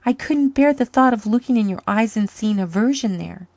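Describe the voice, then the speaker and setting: A woman's voice: slow, creaky, warm tone, no room sound. A woman voicing a heartbroken young woman who pleads in a tearful voice. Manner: tearful, pleading, raised pitch.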